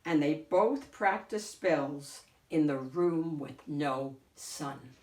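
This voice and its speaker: A woman reading a picture book aloud as host, in a deliberate, theatrical storytelling way. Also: deep voice, animated, darkish tone, distant mic. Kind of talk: expressive picture-book narration. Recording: echoey room, off the mic.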